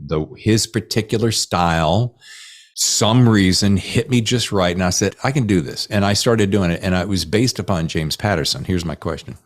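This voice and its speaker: A man hosting a podcast interview in an informal, enthusiastic way. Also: sits low. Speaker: podcast host.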